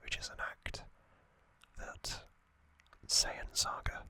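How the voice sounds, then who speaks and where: Slow, whispering man hosting ASMR. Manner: soft whisper, slow, with hesitant fillers. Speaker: ASMR host.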